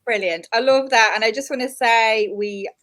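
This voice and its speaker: A woman's voice: a little high, moderate pace, a bit bright. An Irish woman hosts a live online interview with an upbeat, chatty manner. She sounds enthusiastic as she introduces her guest and speaks to the audience. The sound comes through a video call. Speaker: interview host.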